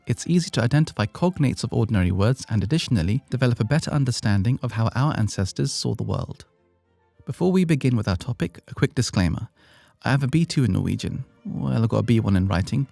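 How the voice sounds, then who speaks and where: A man's voice: medium pitch, animated, fast, gravelly, mellow, no echo, soft background music. A British man hosting an educational podcast, reading a script with playful, self-deprecating asides. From Britain. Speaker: podcast host.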